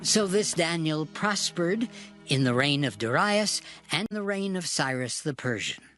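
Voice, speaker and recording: Guy narrating dramatized bible, solemn and measured but really lively pitch, music behind.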